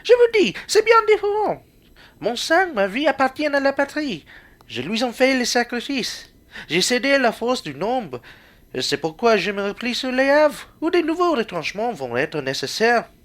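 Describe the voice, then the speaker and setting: An actor as a wildly swooping pompous republican orator. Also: male voice, very high-pitched, big pitch swings, moderate pace, a bit bright, exaggerated voice. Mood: grandiose. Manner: declamatory, theatrical. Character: pompous grandiloquent republican democrat man, self-styled philosopher. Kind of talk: student radio play acting.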